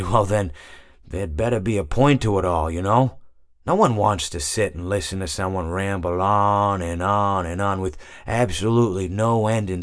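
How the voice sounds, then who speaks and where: A man's voice: lowish voice, no room sound, studio quality. A man voicing an elderly, mild-mannered hippopotamus animatronic for a video game, rambling absent-mindedly, hesitating and correcting himself. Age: older. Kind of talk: character monologue for a video game.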